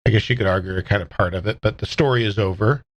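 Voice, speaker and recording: A man's voice: fairly low pitch, rapid-fire. A man chatting casually on a podcast, recorded on a slightly dull microphone. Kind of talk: casual chat.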